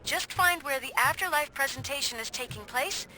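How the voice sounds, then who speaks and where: A woman playing a brisk, wry police colleague in a video game, talking informally and conspiratorially. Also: high voice, expressive, bright, forceful tone, faint music behind. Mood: eager, wry.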